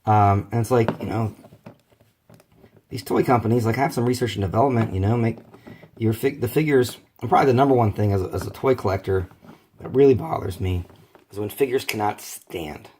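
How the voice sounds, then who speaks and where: A hoarse man, rambling casually through a toy collector's unboxing video. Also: fairly low pitch, brisk, darkish tone, slight background noise. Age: 30s. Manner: rambling, many fillers and restarts. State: hoarse from bronchitis.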